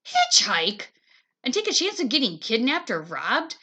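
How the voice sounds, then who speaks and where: A woman acts out a bossy big sister from a children's storybook. The girl sounds high, bright and indignant, giving orders in emphatic, swooping bursts. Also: wildly swooping pitch, unhurried, breathy edge, full character voice. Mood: exasperated. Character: bossy, exasperated big-sister girl, a grammar-minded planner.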